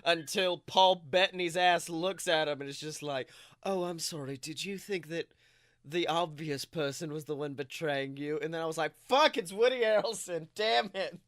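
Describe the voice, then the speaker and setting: A man co-hosting a podcast in casual, comedic chat, telling stories in an animated way and swearing freely. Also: a little high, normal speed, dry recording, studio quality. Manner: animated storytelling, acts out quotes, swears freely. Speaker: podcast co-host.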